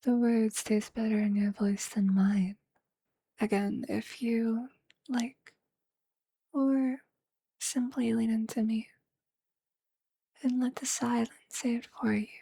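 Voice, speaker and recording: A performer voicing a tender, possessive, motherly vampire in a soft, breathy whispered ASMR roleplay, speaking slowly and intimately in formal, poetic phrases. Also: medium pitch, little pitch movement, exaggerated voice.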